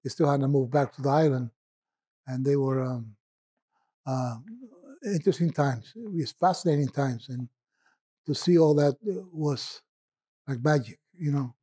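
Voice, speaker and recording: A man with a Puerto Rican accent, a poet telling stories in a rambling, reminiscing way with filler pauses. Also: mid-range voice, warm tone, breathy edge. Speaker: poet guest. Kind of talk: relaxed conversational storytelling.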